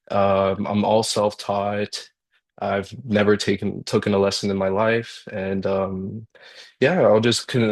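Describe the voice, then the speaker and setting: Low, flat-voiced young man. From Colombia. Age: young adult. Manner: halting, many fillers and restarts. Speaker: guest guitarist and songwriter. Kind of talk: casual workshop talk. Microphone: video call.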